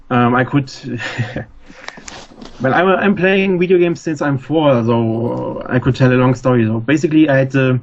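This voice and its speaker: A man in his thirties from Germany with a German accent, a call-in guest who talks haltingly and searches for words. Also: mid-range voice, muffled recording. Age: 30s. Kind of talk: casual podcast chat. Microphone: internet voice call.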